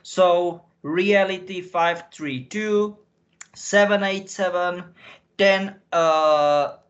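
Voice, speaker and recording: Slow, halting man with a Slovak accent. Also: high-pitched, a bit bright, dull audio. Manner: halting, repeats and reformulates phrases while searching for words. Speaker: interview guest. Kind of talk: rambling conversational interview answers.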